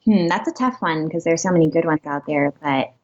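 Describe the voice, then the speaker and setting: A woman's voice: low voice, a little fast. A young woman from Pittsburgh answering interview questions in a friendly way, laughing lightly as she talks. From Pittsburgh, Pennsylvania. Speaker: guest, pianist. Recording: dull lo-fi remote line.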